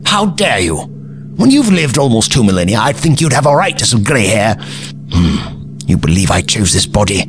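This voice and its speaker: A man plays a haughty, crotchety old alien gentleman in an audio drama. His low voice swoops widely in pitch as he fusses in pompous, old-fashioned phrases, with music playing behind him. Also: very animated, normal speed. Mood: indignant, haughty. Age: older.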